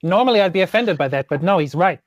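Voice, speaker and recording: A man playing a tabletop game, chatting casually in a halting, jokey way as he improvises. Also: high voice, lively intonation, dry recording. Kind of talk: casual improvised role-play chat.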